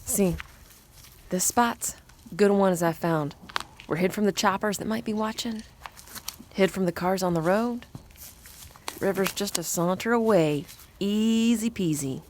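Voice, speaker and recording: A woman's voice: medium pitch, measured pace, pauses often, a little mellow, close to an everyday voice. Folksy cold-blooded killer played by a woman, noisy recording. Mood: calm, folksy. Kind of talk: audio drama monologue.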